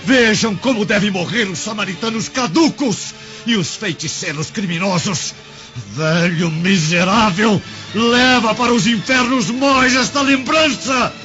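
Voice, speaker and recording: An actor playing a cruel, furious Roman guard in a radio drama, taunting and menacing. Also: male voice, high voice, measured pace, lo-fi sound. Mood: furious gloating. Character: cruel, raging Roman guard, executioner gloating over his victim. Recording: band-limited radio drama, loud music.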